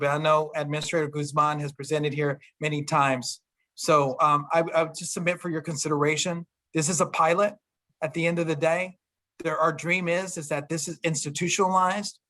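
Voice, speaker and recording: A government official answering a question in an earnest, storytelling way, with frequent restarts. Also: male voice, on the high side, level tone, brisk, pushed and bright. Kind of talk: virtual town hall talk.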